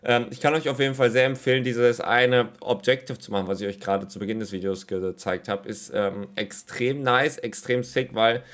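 Chatty young man, slightly hoarse from a cold. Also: medium pitch, moderate pace, hardly any pauses, a bit bright. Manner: chatty, rambling, many filler sounds. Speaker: gaming YouTuber. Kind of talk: casual gaming commentary. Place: small home room, temporary setup.

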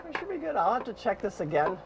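Man, fifties, boat owner narrating his own repair, somewhat high voice, loud engine noise behind. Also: big pitch swings, fast. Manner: plain practical talk with fillers, thinking aloud while working. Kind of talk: hands-on repair walkthrough. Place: cramped boat engine room. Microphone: action camera mounted in the engine room. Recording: noisy engine room. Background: running boat engine.

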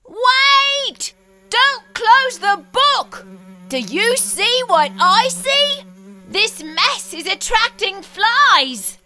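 A woman's voice: very high-pitched, sing-song, drawn-out words, bright, forceful tone, full character voice. A woman voicing a fussy, neat-freak young male frog who exclaims and frets dramatically in a storybook reading. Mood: frantic. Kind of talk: storybook read-aloud acting.

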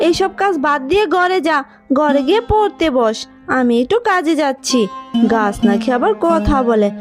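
A woman's voice: sits high, expressive, normal speed. A woman voicing a stern, suspicious mother in a cartoon, brusque and scolding. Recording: long reverb with music underneath.

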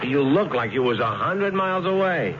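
An actor playing an uneasy working-class trucker sidekick, folksy and hesitant, in a 1970s radio drama. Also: male voice, on the high side, very animated, moderate pace. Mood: concerned. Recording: muffled, noisy 1970s radio recording.

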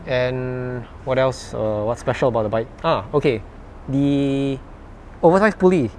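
A man hosting a relaxed bike interview in an open parking garage at night, asking easygoing questions. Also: medium pitch, a little slow, a little hiss or hum. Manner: relaxed questioner, local particles. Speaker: host, interviewer.

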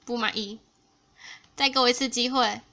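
A woman giving casual, expressive let's play commentary as host of a gaming video. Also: a little high, normal speed. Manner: expressive, exclaiming reactions. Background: game music.

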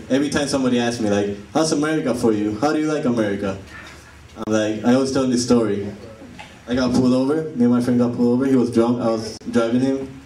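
Young Puerto Rican comic, Spanish-accented English, playful crowd work, roomy distant live sound. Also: male voice, medium pitch, a little mellow, slight background noise. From Puerto Rico. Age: young adult. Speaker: stand-up comedian. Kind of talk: stand-up comedy set. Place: comedy club stage. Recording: echoey live room from a distance. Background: laughing audience.

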